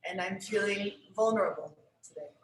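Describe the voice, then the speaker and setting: A woman from Brooklyn briefly introducing herself on a video call, calm and plain. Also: medium pitch, brisk. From Brooklyn, New York. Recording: echoey noisy video call.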